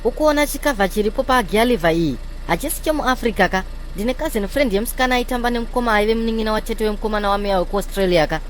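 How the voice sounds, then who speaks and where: A male actor plays a fussy cartoon car owner with a very high voice for a man. He talks fast, nagging and pleading in a demanding way. Faint vehicle noise sits behind him. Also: natural voice. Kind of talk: comedy cartoon dialogue in Shona mixed with English.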